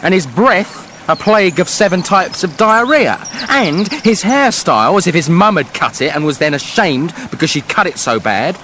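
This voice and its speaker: A man's voice: sits high, very animated, moderate pace, hardly any pauses, bright, forceful tone, a little hiss or hum, full character voice. An actor playing a fussy, self-important writer narrating his fairy tale in a comic radio play, florid and testy. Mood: florid, dramatic.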